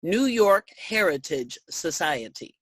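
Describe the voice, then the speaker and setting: A woman's voice: lowish voice, very animated, takes it slowly, breathy edge, dry recording, full character voice. A woman playing an earnest suffragist speaker in a staged reading, declaiming solemnly. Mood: earnest, grave. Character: earnest suffragist poet and storyteller. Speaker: reader in a reader's theater.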